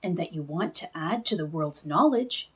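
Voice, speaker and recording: A woman's voice: fairly low pitch, expressive, normal speed, husky, pitched below the performer's own voice. A woman voicing a tiny magical storybook companion, neither boy nor girl, chirpy, encouraging and reassuring.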